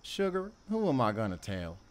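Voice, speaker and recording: A man playing a sardonic, wounded ex-convict with a soft heart in an emotional audio drama. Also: mid-range voice, huge pitch swings, moderate pace. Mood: wry, reproachful.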